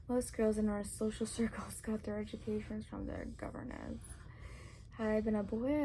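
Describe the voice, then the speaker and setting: A woman reading a story aloud in ASMR style, speaking softly and often dropping into a whisper. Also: mid-range voice, creaky, slight background noise, poor audio. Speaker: ASMR reader.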